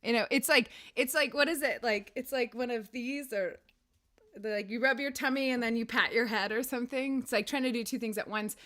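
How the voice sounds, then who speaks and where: A woman singer hosting a casual livestream chat, her voice raspy, talking in a rambling, laughing way from a room with a piano. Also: a little high, a little fast, fairly bright tone. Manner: chatty, rambling, laughs often. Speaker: singer and weekly show host.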